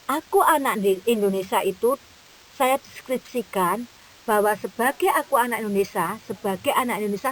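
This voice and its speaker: A woman's voice: mid-range voice, fairly bright tone, low-quality recording. A woman who teaches kindergarten, patiently explaining a curriculum to fellow teachers. She repeats her points and keeps checking that they follow. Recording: faint hiss.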